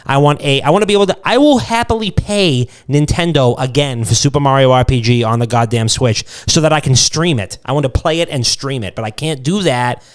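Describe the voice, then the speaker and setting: A man's voice: medium pitch, fairly quick, hardly any pauses. A man hosting a casual gaming podcast, joking irreverently and swearing freely as he makes comic demands. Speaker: podcast host. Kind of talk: casual comedic chat about gaming.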